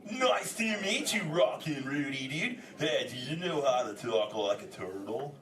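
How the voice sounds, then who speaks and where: Echoey, excitable surfer-dude sea turtle. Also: male voice, medium pitch, unhurried, recorded from a distance. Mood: friendly, teasing. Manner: drawling surfer slang, exclamatory. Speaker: host of an interactive live audience show. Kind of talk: improvised comic audience banter. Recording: echoey, noisy hall, voice from afar.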